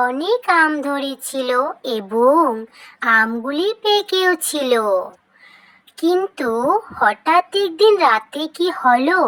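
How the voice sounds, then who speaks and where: Story narrator for children's cartoon: high-pitched voice, slow scripted delivery. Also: sharp tone, rough recording.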